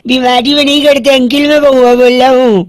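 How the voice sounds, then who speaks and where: A cheeky young prank caller, played by a man, ribs strangers with puns and mock seriousness. The voice sits at an ordinary pitch and sounds dry and close to the mic. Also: little pitch movement, fairly quick, dry recording. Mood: teasing. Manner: teasing, playful, wordplay jokes. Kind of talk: radio prank call.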